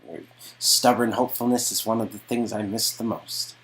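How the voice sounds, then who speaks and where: A man's voice: on the low side, fairly even intonation, normal speed, a bit bright, pitched above the performer's own voice, poor audio. A man playing an earnest, protective young boyfriend in a story game. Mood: warm, earnest.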